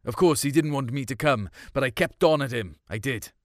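A man's voice: mid-range voice, fairly quick, no echo. Man reading a story, close and dry. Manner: colloquial, confiding storytelling. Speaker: short story reader. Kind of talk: dramatic first-person story narration in colloquial voice.

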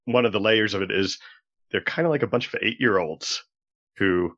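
Lowish-voiced man on slightly dull audio. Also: moderate pace, fairly bright tone, husky. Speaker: podcast participant. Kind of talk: casual discussion.

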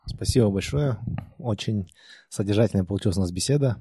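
A man's voice: lowish voice, a little fast, warm tone, slightly rough, dry recording. A male podcast host asking interview questions and reading the show outro in a polite, formal manner.

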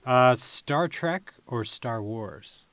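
A man's voice: medium pitch, expressive, drawn-out words, fairly bright tone, no echo. Casual male podcast host, dry close sound. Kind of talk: casual interview banter.

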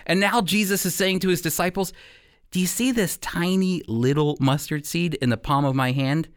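A man giving an expository Bible teaching as a radio program teacher, speaking emphatically and addressing listeners directly with pauses for emphasis. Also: fairly high pitch, clear, resonant tone.

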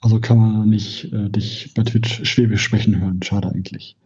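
A man's voice: fairly low pitch, little pitch movement, normal speed, darkish tone, husky. A man who is a guest on a podcast, making short, dry remarks in casual chat. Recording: dull lo-fi sound.

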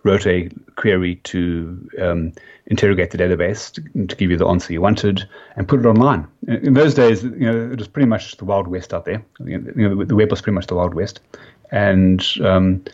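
A South African man in his fifties, a company founder giving a podcast interview, talking haltingly with many fillers and restarts. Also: deep voice, steady tone, darkish tone. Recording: dull lo-fi sound.

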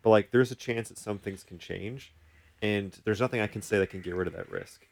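A man's voice: on the low side, fairly quick, creaky. A man in casual podcast conversation, explaining things informally as a therapist would. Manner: informal, explanatory, voices hypothetical client worries.

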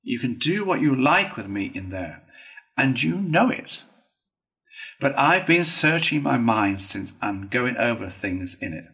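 A man's voice: mid-range voice, a bit bright, voice pushed up, heavily acted. A man voicing a conceited, stubborn male toad from a storybook, glib and defiant. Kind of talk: storybook character reading.

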